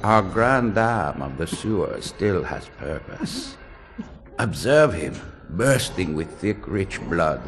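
Theatrical, gravelly vampire lord, wildly swooping. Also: male voice, sits low, big pitch swings, slow, fairly bright tone, a little hiss or hum, exaggerated voice. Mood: gloating. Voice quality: gravelly creaky voice. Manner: theatrical, pompous, florid wording. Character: grotesque, scholarly vampire lord, proud of his ghastly experiments.

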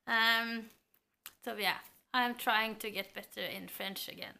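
A woman's voice: a little high, steady tone, slow, vocal fry, bright, forceful tone. A woman in her thirties with a Norwegian accent, chatting to the camera at home about books, thoughtful and a little hesitant. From Norway. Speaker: book vlogger. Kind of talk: casual chat to camera. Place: home room lined with bookshelves. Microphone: camera at close range.

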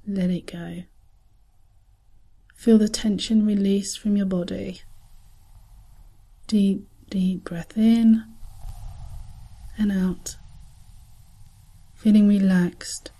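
A woman narrating a scripted guided visualisation in a calm, soothing, soft voice with breathy edges and long pauses. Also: mid-range voice.

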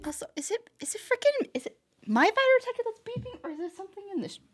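A woman hosting a reaction video, giving casual, expressive commentary with frequent exclamations. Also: very high voice, fast. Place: own room. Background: music from the watched animation.

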